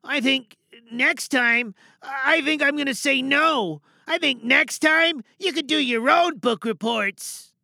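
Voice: high-pitched, slow, vocal fry, pushed and bright, dry recording, studio quality, pitched above the performer's own voice, exaggerated voice. A performer voicing a hurt, neglected student leaving an earnest, hesitant message in a dramatic monologue. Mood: wounded, assertive.

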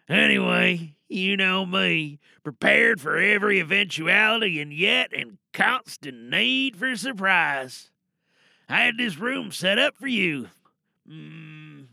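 Man performing a high, drawling, theatrical supervillain. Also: creaky, sharp tone, dry recording, clean studio sound, exaggerated voice. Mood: gloating. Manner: theatrical, drawling, savoring each phrase. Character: flamboyant, gloating supervillain, secretly smitten with captive hero. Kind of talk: dramatic villain monologue for audio drama.

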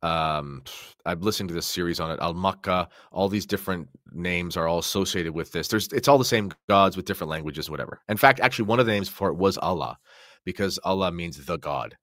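A man's voice: low voice, brisk, fairly bright tone, no room sound, clean studio sound. A man speaking as a podcast guest in an impassioned religious discussion, explaining emphatically and often posing rhetorical questions.

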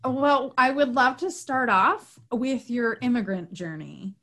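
A woman's voice: on the high side, slow pace, fairly bright tone. A young adult woman hosting a podcast interview, upbeat and laughing often in casual chat. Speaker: podcast host.

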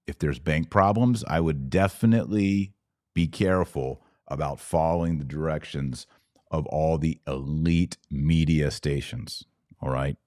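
Very deep-voiced man hosting a podcast. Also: measured pace, darkish tone, no room sound, studio quality. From Texas. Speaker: podcast host. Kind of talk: casual live commentary answering audience comments.

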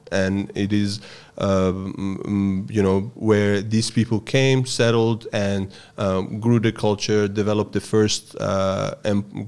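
A man from Iran speaks in a somewhat low register. He explains his practice patiently, in measured interview answers, often pausing with small hesitations. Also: darkish tone, clear, resonant tone. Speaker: guest, Persian strength and yoga teacher.

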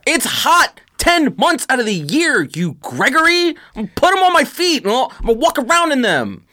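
An American podcast co-host in casual banter, blunt, opinionated and quick to contradict. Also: high voice, big pitch swings, pushed and bright, no echo, studio quality.

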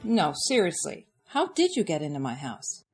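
A woman's voice: deep voice, huge pitch swings, moderate pace, a little mellow, faint music behind, heavily acted. A woman playing an exasperated, easily startled TV viewer in a comic promo, sounding indignant and incredulous. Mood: alarmed, indignant.

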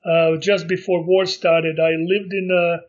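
A middle-aged man from Montenegro, recalling his life in a casual interview and speaking haltingly with many fillers and restarts. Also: high voice, little pitch movement, muffled recording. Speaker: interview guest.

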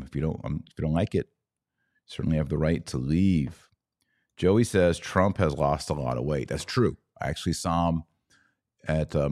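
A man's voice: a bass voice, darkish tone, no echo, clean studio sound. A man hosting a podcast livestream, conversationally reading out viewer comments and responding to them. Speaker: podcast host. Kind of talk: casual live-stream commentary, reading viewer comments aloud.

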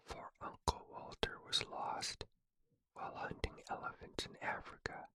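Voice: a little slow, bright, forceful tone. Martha, a fretful young girl, whispered by a narrator. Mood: distressed.

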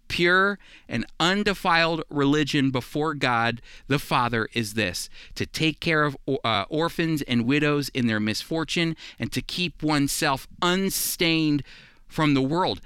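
A man's voice: on the high side, bright, forceful tone, clear, resonant tone, dry recording, studio quality. A man teaching from scripture in an emphatic sermon style, addressing his listeners directly. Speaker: Bible teacher, radio show host.

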